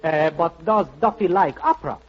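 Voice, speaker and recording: An actor playing a gallant, theatrical opera singer with formal grand manners in a 1940s radio comedy. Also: male voice, fairly high pitch, lively intonation, normal speed, natural voice. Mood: suave. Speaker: singing comic actor in a radio show. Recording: muffled vintage radio recording.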